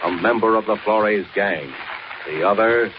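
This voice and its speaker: A man's voice: lowish voice, measured pace. Dramatic vintage male radio announcer, muffled. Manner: dramatic, declamatory. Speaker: announcer and narrator. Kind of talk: 1940s radio serial announcing. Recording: muffled, noisy old 1940s radio recording.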